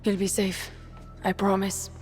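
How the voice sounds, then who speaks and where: A woman's voice: medium pitch, monotone, a little slow, natural voice. An actress playing a fierce, protective young guerrilla woman, blunt and urgent. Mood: firm, earnest. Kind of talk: cinematic game acting. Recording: loud music underneath.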